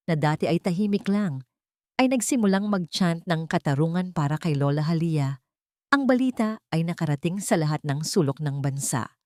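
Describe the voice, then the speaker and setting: A woman's voice: on the low side, a little mellow, dry recording, studio quality. A woman narrating a scripted audio drama in an earnest, dramatic storytelling manner.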